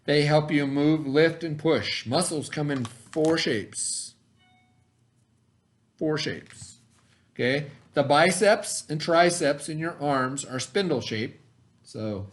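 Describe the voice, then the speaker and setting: A man teaching by reading aloud to pupils, pausing to explain in casual asides and check that they follow. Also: fairly high pitch. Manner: pauses to explain, checks in with "okay?".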